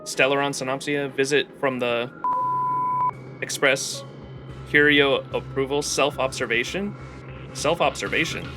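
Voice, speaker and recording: A man's voice: medium pitch, a little slow, long pauses, music in the background. A male live streamer reading game text aloud expressively, with his own commentary mixed in.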